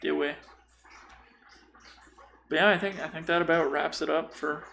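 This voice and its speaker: A man with a slightly high, creaky voice co-hosts a casual podcast. He talks in slangy, jokey, sometimes sweary banter. There is clear noise behind him. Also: fairly even intonation, normal speed, bright, forceful tone. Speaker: podcast co-host. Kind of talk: casual chat. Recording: noisy room recording. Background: clear background noise.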